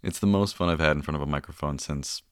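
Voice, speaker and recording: A man hosting a relaxed, conversational interview, recorded on a close mic in a small closet space. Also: extremely low pitch, quick pace, smooth, no echo. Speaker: podcast host and audio performer. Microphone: close studio-style mic in a closet.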